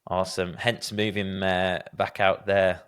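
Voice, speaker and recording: A man with a lowish, smooth and resonant voice hosts a podcast interview. He talks in a casual, conversational way and tells candid, self-deprecating stories about himself. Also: level tone, measured pace, a bit bright. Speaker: podcast host.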